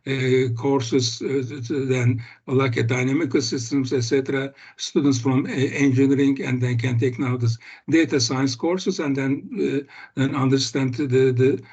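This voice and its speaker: An older man giving an academic seminar on a video call, speaking haltingly with many fillers and restarts. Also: mid-range voice, fairly even intonation, darkish tone, slightly rough. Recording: muffled video call audio.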